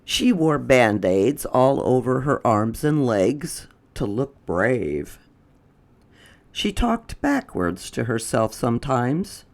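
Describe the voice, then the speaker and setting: A storyteller reads a children's picture book at an unhurried pace. The delivery is expressive and deliberate, with the pitch moving widely from phrase to phrase.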